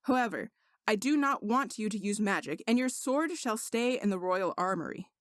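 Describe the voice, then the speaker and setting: A woman's voice: mid-range voice, expressive, moderate pace, no echo, studio quality, lightly acted. A woman voicing a skeptical, commanding king in a story, with a blunt, imperious manner. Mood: commanding, suspicious.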